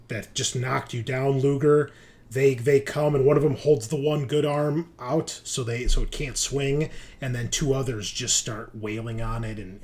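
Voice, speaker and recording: A man improvising tabletop roleplaying narration as game master, in a halting, emphatic storytelling manner. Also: medium pitch. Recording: steady background noise.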